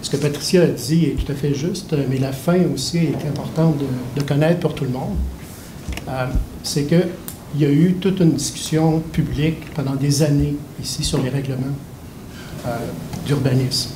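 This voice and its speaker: A man speaking at a public meeting, measured and earnest. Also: fairly high pitch, fairly even intonation. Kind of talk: unscripted public comment. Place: meeting hall. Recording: echoey hall, faint background noise.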